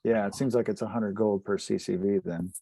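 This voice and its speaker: Low, mellow-voiced man. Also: steady tone, brisk, no echo. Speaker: player in tabletop game. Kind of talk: casual game-table chat.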